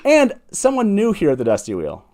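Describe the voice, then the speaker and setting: A man's voice: high voice, wildly swooping pitch, brisk, a little mellow. A man hosting a live fan talk show in a casual, enthusiastic and emphatic way.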